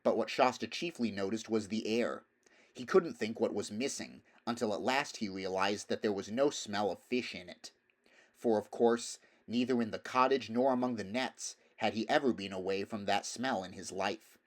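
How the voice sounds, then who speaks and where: A man's voice: on the low side, moderate pace. A man reading a story aloud as scripted narration, in the role of audiobook reader.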